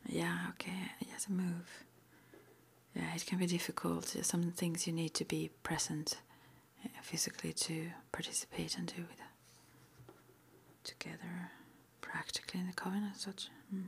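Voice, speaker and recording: A woman whispering an ASMR roleplay interview, playing a witch school interviewer with gentle, reassuring, hesitant phrasing. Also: fairly low pitch, level tone, moderate pace, creaky, slight background noise.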